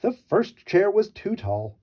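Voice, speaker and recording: Male children's storyteller: highish, lively swooping pitch, wry mock-innocent asides, theatrical. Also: takes it slowly, slightly rough, lo-fi sound. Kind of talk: read-aloud children's storytelling.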